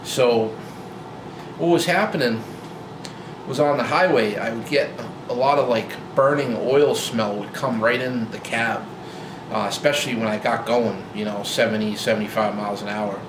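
A man in his forties talking casually to camera about his old truck, relaxed and rambling with lots of fillers and restarts, filmed in a garage. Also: medium pitch, moderate pace. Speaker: vlogger. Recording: roomy garage with loud hum.

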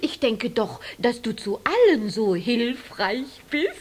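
A woman's voice: fairly high pitch, big pitch swings, slow pace, breathy edge, full character voice. An actress playing a mischievous, gleeful little witch with a teasing, bossy manner in a children's radio play. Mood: mock sweet. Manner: sing-song teasing, chuckling. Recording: faint cassette noise.

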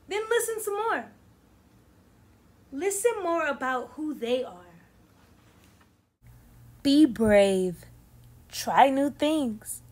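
Young lady teacher doing a kids read aloud, high pitched, takes it slow, expressive and encouraging. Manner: animated, deliberate, encouraging delivery. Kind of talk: expressive children's picture book read-aloud. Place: small indoor room against plain backdrop.

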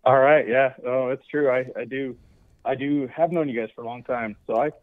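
A man from southern Utah chatting casually as an interview guest, restarting his phrases haltingly, over a phone call. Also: mid-range voice, fairly even intonation, no room sound. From southern Utah, USA. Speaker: podcast guest, wildlife biologist and big game coordinator. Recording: muffled phone call, dry.

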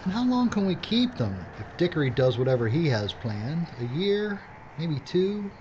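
Hero role for a man: determined, worried fugitive, animated delivery, slightly muffled audio drama sound. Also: fairly high pitch, normal speed, a little hiss or hum. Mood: urgent resolve.

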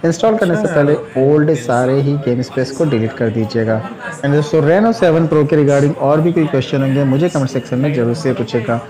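A man hosting a tech review, explaining phone software in a chatty, repetitive way with English tech terms mixed in. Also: mid-range voice, animated, brisk, a crowd in the background, low-quality recording. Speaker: tech channel host.

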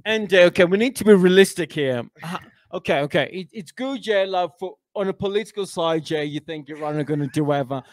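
High-voiced Englishman giving blunt pundit takes. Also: fairly quick, no echo, studio quality. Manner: blunt, emphatic opinions. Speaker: football pundit. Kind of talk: casual football chat. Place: small indoor studio with a backdrop.

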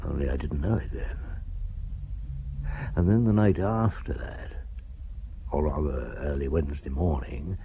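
An actor in a British radio drama playing a shrewd, stubborn middle-aged French chief inspector, wry and given to murmuring to himself. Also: male voice, very deep voice, a little slow, long pauses, mellow, a little hiss or hum. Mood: brooding.

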